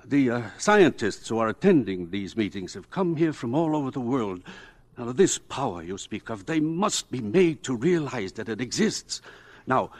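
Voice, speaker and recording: Male professor, lively swooping pitch, hesitant, thoughtful, earnest, classic radio drama. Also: normal speed. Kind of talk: old-time radio drama acting.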